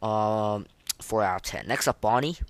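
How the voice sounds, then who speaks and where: A man hosting a casual reaction podcast, rating things in an informal, rambling way with a lot of halting fillers. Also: on the low side, dry recording. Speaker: podcast host. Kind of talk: casual reaction commentary, rating game voice lines.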